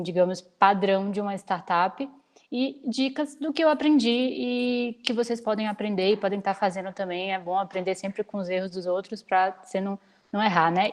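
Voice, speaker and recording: A young woman from Salvador speaks over a video call, sharing slides with students. Her pitch sits in the ordinary middle range. She talks briskly and practically, with an energetic pull. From Salvador, Bahia, Brazil. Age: 20s. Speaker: startup founder giving a guest talk. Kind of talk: online lecture with slides.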